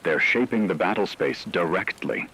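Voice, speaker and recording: A man playing a solemn radio news correspondent, delivering a scripted emergency war broadcast in a measured, dramatic way. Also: low-pitched, expressive, bright, forceful tone, husky, full character voice, poor audio. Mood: grave, urgent.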